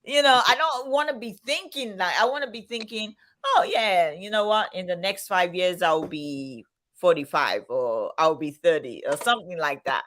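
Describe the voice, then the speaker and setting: Bright-voiced woman in her fifties. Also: lowish voice, moderate pace. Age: 50s. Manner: laughs easily, reflective and chatty. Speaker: co-host of a live stream. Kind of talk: casual chat.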